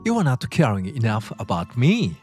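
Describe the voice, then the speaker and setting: Casting: actor as childish, demanding husband, mid-pitched and very animated, petulant bluster, music underneath. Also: male voice, moderate pace. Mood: petulant, belittling. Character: childish, selfish, demanding husband, dismissive and whiny. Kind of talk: dramatized dubbed dialogue.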